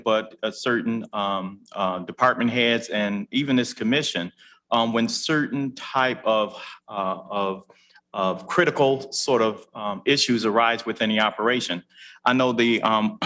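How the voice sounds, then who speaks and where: A man with a lowish voice poses a long question to a committee. He circles his point with frequent ums and restarts. His delivery is formal but loose. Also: bright, forceful tone. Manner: rambling, many ums and restarts. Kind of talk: questioning at a public meeting. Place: county commission meeting room.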